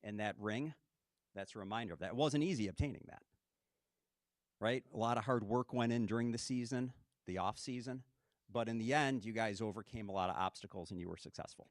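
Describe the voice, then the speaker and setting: A man's voice: fairly low pitch, a little fast, no echo, studio quality. A man, the head coach, giving an earnest speech to his team at a ring ceremony, often checking in with "okay?".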